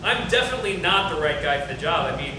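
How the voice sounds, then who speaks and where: A man's voice: very animated, fast talker. A man acting a falsely friendly, needling workplace rival in a naturalistic drama table reading. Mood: teasing, needling. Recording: very echoey, distant, rumbling noise.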